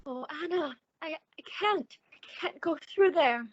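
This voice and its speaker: A timid, frightened woman played by an actress in a radio thriller. Her voice is extremely high, hesitant and pleading, on a close, slightly dull lo-fi recording. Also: expressive, measured pace, no echo. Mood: fearful, pleading. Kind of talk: radio suspense drama dialogue.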